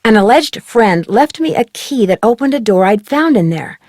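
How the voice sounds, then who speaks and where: A young female amateur detective in a game. Her voice is somewhat high and the recording is very dry. She sounds earnest and inquisitive, laying out her case. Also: lively intonation, normal speed, darkish tone, no room sound. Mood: explaining, earnest. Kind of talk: scripted game dialogue.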